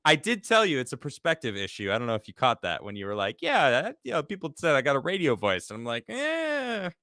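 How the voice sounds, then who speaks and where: A man from Chicago hosting a casual live-stream talk show. He laughs often and reads viewer comments aloud in a lively voice. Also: medium pitch, fairly quick, no room sound, studio quality. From Chicago, United States.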